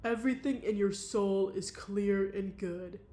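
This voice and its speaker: A woman reading aloud, voicing a calm married woman with a deliberately low, measured voice. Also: natural voice. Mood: gentle. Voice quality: low, measured and soft-spoken.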